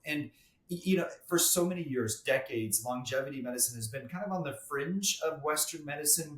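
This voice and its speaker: This is a man at a mid pitch, a dermatologist who guests on a podcast. He explains medical ideas in a conversational way and often restarts with a repeated word. The recording has a noticeable roomy echo. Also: fairly bright tone. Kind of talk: podcast interview.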